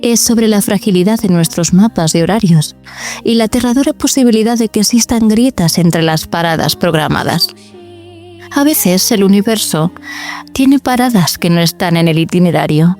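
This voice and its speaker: Dark, mellow female podcast narrator. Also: medium pitch, expressive, normal speed, faint music behind. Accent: Spain. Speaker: podcast host. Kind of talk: scripted narration.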